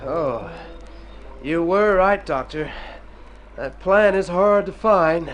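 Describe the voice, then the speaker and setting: A man's voice: high-pitched, takes it slowly. An actor playing an earnest, plaintive convalescing city man in a radio drama, theatrical and wordy. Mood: weary, complaining. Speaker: storyteller and lead character in a radio play. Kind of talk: Golden Age radio drama acting. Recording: old radio recording with music.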